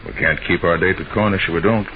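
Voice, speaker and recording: A commanding private eye played by a man in an old-time radio mystery. He talks fast in a low voice with lively swings of pitch. The old recording is dull and very noisy. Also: close to an everyday voice. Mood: low, wary. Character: calm, commanding male private detective. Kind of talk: 1940s radio drama acting.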